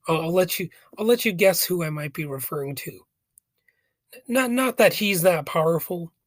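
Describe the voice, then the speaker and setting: A man hosting a podcast with a raspy voice from a cold, commenting casually and rambling with hesitant, jokey asides. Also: fairly high pitch, lively intonation, measured pace, pauses often, dry recording, studio quality. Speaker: podcast host. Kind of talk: casual commentary on a philosophy text.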